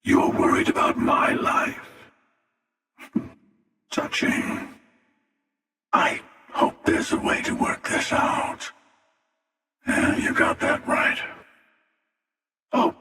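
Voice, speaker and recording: An actor playing a menacing, sardonic, world-weary armored warrior in a video game, with a gravelly, taunting, unhurried delivery. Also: male voice, very high-pitched, pushed and bright, heavily acted. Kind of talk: video game character voice lines. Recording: cavernous long reverb, distant.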